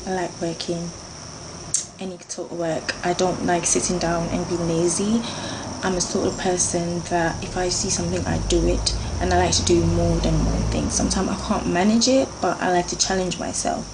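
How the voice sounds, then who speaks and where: A woman, talking in a low, gravelly voice. She chats earnestly to her viewers and fills her sentences little fillers. Clear background noise a hum of insects runs under the recording. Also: fairly even intonation, normal speed. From Jamaica. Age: 30s. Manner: chatty and earnest, with frequent fillers. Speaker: beauty vlogger. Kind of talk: casual talk to camera, going through a list.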